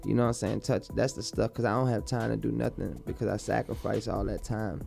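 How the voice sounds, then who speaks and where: A young man speaks in a laid-back, rambling way, often circling back on his own words. His voice has a gravelly, creaky edge. Faint music plays behind him. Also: lowish voice, steady tone, fairly quick. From Baltimore, born in Dallas. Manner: laid-back, repeats himself a lot. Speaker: rapper guest. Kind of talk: casual interview talk.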